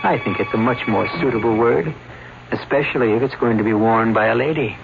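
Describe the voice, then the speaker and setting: Wry male radio mystery host, muffled recording. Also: mid-range voice. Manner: measured, theatrical, wry. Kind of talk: scripted old-time radio narration. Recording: muffled vintage radio, clear hiss.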